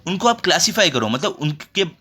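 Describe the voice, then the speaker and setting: Bright-voiced Indian man tutoring children. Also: high-pitched, big pitch swings, brisk, slightly rough. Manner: repeats check phrases and rhetorical questions, instructive. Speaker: school tutor. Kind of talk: explanatory lesson for children, reading textbook and translating.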